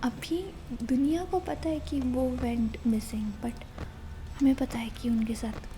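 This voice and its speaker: A woman with an Indian accent whispering softly and breathily in a casual, intimate ASMR style as she explains a game. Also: a little high, very fast, a little hiss or hum. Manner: intimate, casual, mixing two languages. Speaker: ASMR gaming host.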